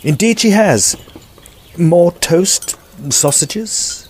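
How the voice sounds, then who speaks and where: An actor playing a gentlemanly Edwardian narrator of a ghost story, telling it formally in a scripted audio drama. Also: male voice, high-pitched, lively intonation, extremely slow, a little hiss or hum, full character voice. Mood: affable.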